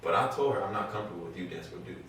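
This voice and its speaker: A man's voice: low voice, lively intonation, very fast, a bit bright, slight background noise. A man speaking earnestly in a casual group chat, often restarting sentences as he explains. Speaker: guest. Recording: echoey room, mic far off.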